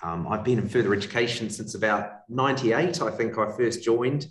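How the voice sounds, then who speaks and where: A man's voice: on the low side, moderate pace. A man with a New Zealand accent speaking as a guest on a video call, explaining his work. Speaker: college executive, guest speaker. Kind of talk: explanatory webinar talk. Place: indoor room, on a video call. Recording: roomy, distant video-call microphone.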